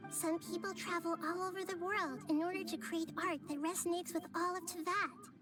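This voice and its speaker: A woman voicing a young-sounding goddess in a game, speaking softly, calmly and thoughtfully, wise beyond her years. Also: very high voice, fairly quick. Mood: earnest. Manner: soft, calm and thoughtful speech. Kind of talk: game cutscene voice acting. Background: game music.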